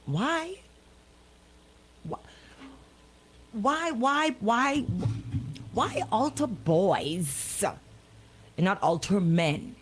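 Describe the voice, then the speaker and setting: A woman's voice: mid-range voice, lively intonation, extremely slow, pauses often, slight background noise. A woman hosting a casual live talk show, questioning people incredulously and repeating her words for emphasis. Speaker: radio show host.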